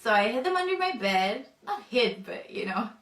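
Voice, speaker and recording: A woman's voice: a little high, very animated, moderate pace, fairly bright tone. Hesitant young woman, roomy distant sound. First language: not English. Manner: thoughtful, hesitant, many fillers. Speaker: convert telling her story. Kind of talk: personal testimony.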